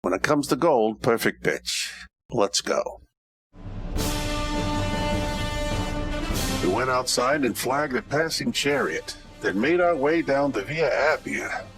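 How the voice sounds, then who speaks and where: An actor playing a hard-boiled, wisecracking Roman private eye, wry and tough-talking, in an old-time radio detective parody. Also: male voice, mid-range voice, animated, a little slow, music in the background, close to an everyday voice.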